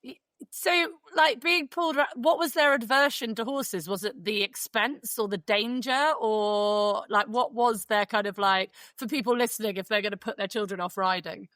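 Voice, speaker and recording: Woman host, teasing banter, higher voice, dry close sound. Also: moderate pace, a bit bright, dry recording. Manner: bantering, teasing, swears casually. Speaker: podcast host. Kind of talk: casual podcast chat.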